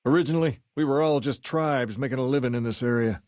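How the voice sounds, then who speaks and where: An actor playing a suave, folksy gang leader in a video game, with a drawl and a cool swagger. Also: male voice, medium pitch, fast, darkish tone, no echo, clean studio sound, lightly acted. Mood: reflective. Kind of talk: video game dialogue acting.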